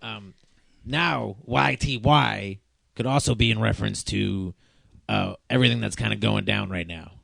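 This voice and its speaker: A man's voice: fairly low pitch, moderate pace, vocal fry, fairly bright tone, no room sound, studio quality. A man hosting a podcast, chatting in a laid-back, teasing and slangy way. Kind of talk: casual podcast chat.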